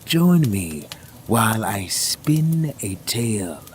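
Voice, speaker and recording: A man's voice: on the low side, sing-song, slow pace. A man playing a mysterious, solemn teller of old tales, dramatic and inviting, over faint ambient noise. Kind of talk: theatrical storytelling intro.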